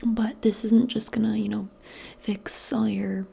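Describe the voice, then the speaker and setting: A woman doing a soft-spoken ASMR roleplay, mostly in a breathy whisper, with a gentle, reassuring and hesitant way of talking. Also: medium pitch, flat delivery, a little slow.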